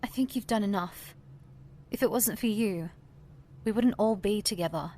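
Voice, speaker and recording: A woman playing a compassionate, firm figure who counsels gently and with measured calm in an audio drama. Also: medium pitch, lightly acted. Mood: consoling. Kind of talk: scripted audio drama acting.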